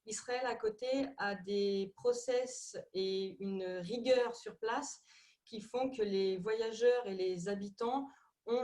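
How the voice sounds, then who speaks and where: A woman's voice: mid-range voice, a little mellow. Hesitant Parisian woman presenter. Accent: Parisian French. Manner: hesitant, many fillers and repeated words. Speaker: tourism ministry representative. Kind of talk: video call presentation.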